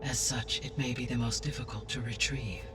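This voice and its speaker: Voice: mid-range voice, fairly even intonation, over music, natural voice. Formal, distant artificial intelligence, voiced by an actor. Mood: composed, helpful. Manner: measured, formal, precise. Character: calm, benevolent female-named artificial intelligence overseeing Earth. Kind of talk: formal scripted game dialogue.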